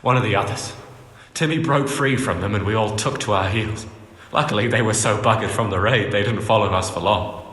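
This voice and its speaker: An actor playing a coarse, foul-mouthed young bandit in a video game, speaking bluntly. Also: male voice, lowish voice, a little fast, fairly bright tone, lightly acted. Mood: rueful recounting. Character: rough, foul-mouthed young bandit recounting a botched getaway. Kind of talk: video game cutscene acting. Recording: roomy, reverberant, faint music behind.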